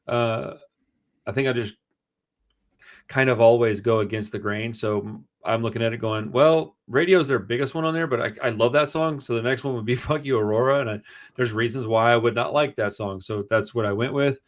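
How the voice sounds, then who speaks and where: A man with a booming voice co-hosting a casual music review show, talking in an animated, slangy, rambling way. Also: moderate pace. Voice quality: booming, projecting voice. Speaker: podcast co-host.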